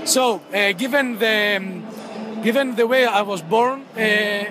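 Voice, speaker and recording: High-voiced Greek man in his thirties. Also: over music, crowd noise. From Greece. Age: 30s. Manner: halting, many fillers and restarts. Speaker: interviewee, football fan club member. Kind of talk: casual interview.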